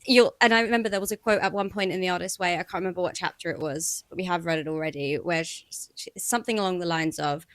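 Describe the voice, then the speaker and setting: A woman's voice: fairly low pitch, fast, hardly any pauses, dry recording. A woman in her thirties co-hosting a podcast, chatting casually and acting out her own doubts in quotes. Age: 30s. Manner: animated, acts out her own inner voice in quotes. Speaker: podcast co-host. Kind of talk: casual chat.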